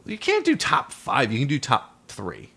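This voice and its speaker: A man hosts a casual chat about movies, talking to a child. His voice sits at an average pitch but swings widely in melody. He teases and jokes in a playful, conversational way. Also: wildly swooping pitch, moderate pace, gravelly, a bit bright. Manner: teasing, playful. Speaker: podcast host.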